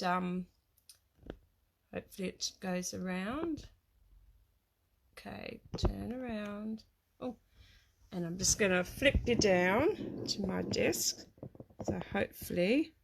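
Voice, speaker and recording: A middle-aged Australian woman with a croaky voice from a cold, chatting casually as she hosts a craft livestream in a hesitant, rambling way. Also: medium pitch. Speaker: craft demonstrator and livestream host. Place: small home craft room.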